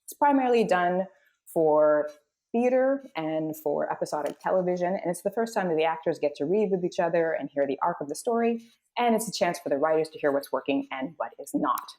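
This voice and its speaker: A woman's voice: on the low side, animated, fairly quick, darkish tone. A woman hosting a live table read and narrating the scripted scenes. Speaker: host and narrator.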